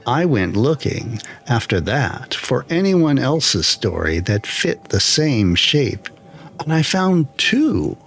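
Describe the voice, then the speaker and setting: A man reading a scripted first-person horror story in a measured, deliberate way, with pauses between phrases. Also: mid-range voice, big pitch swings, gravelly. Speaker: storyteller, channel narrator.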